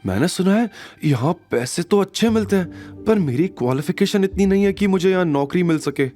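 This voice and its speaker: Man voicing a timid, high-pitched young woman. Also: lively intonation, fairly quick, mellow, faint music behind. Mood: puzzled, anxious. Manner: hesitant, questioning. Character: timid, humble young woman, doubtful and earnest.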